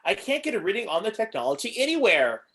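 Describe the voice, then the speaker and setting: A woman's voice: medium pitch, sing-song, fast, fairly bright tone. A woman playing a sassy robot sidekick to a supervillain in a script read, with a sardonic manner. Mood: reporting. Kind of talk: amateur table read of a film script.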